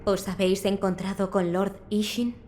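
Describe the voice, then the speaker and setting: A woman's voice: mid-range voice, fairly even intonation, normal speed, darkish tone, faint music behind, close to an everyday voice. A woman playing a composed, dutiful physician in a video game, formal and courteous, with a Castilian Spanish accent. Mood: inquiring, serious. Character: composed, dutiful female physician in feudal Japan.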